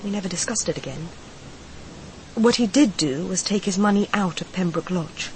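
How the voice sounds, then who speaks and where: An actress playing a grieving mistress confiding in a detective in a radio crime drama, speaking in a measured, confessional way. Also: female voice, on the low side, darkish tone, slight background noise, natural voice, poor audio. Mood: resigned, bitter.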